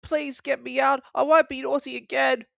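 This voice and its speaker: A man's voice: very high voice, level tone, normal speed, vocal fry, a bit bright, no room sound, clean studio sound, voice pushed up, heavily acted. A man voicing a cheeky, defiant young tank engine in an exclamatory, teasing way. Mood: sheepish.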